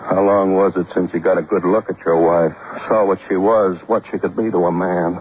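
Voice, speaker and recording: A cruel, taunting killer from a fifties radio crime play, acted by a man. His voice sits low and he talks tough, full of contempt. The old recording is muffled with faint noise behind it. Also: steady tone, brisk, lightly acted. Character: cornered sneering male killer, a cruel taunting crook. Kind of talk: 1950s radio crime drama acting.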